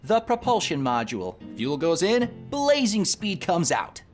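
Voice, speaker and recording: A man's voice: high-pitched, wildly swooping pitch, measured pace, over music, full character voice. An actor playing an eager, proud young male engineer and rocket inventor in a video game, with a wry, casual manner. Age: young adult. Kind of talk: video game character dialogue.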